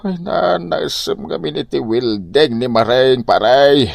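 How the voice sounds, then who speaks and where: A man's voice: medium pitch, unhurried, gravelly, a little mellow, no room sound, studio quality. A man playing a grumbling, put-upon old Filipino husband with an Ilocano accent in a broad comic drama. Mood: whining. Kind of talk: broad comic radio-style drama acting.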